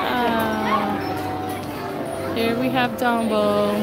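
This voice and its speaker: A woman getting over a cold, her voice a little nasal, chatting casually to viewers while she walks with a handheld phone. She laughs often and restarts her sentences. Her voice sits fairly high, over a loud crowd and blaring park music outdoors. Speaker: vlog host. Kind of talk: casual live-stream chat while walking. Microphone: handheld phone camera while walking.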